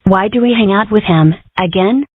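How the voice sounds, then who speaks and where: A woman's voice: medium pitch, normal speed, slightly rough, no room sound, natural voice. A woman voicing a brash, eccentric cartoon schoolgirl who declares things bluntly and theatrically in an amateur comic dub. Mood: exasperated. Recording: thin, phone-like lo-fi audio.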